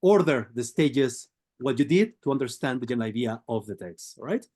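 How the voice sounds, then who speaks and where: A man's voice: mid-range voice, animated, brisk, darkish tone. A man teaching an online class over a video call, patiently prompting students with questions. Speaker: language teacher.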